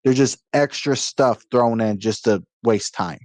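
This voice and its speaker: A man's voice: medium pitch, measured pace, a little mellow, no echo. Opinionated man griping about games. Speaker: podcast co-host. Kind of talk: casual gaming chat.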